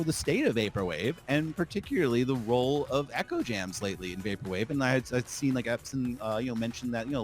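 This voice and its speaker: A man chatting casually on a podcast, slipping into an opinionated rant, emphatic and repetitive, with plenty of swearing. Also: mid-range voice.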